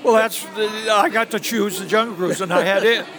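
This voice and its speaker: A man in his seventies from New England, casually recalling his time as a ride guide, halting with many fillers. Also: high voice, steady tone, music in the background, a crowd in the background. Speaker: interviewee, former theme park ride guide. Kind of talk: casual reminiscing interview.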